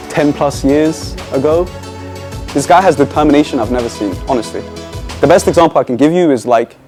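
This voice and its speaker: Casting note: young man, mellow tone, casual and admiring, light music under. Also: a little high. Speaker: friend. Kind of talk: interview testimonial.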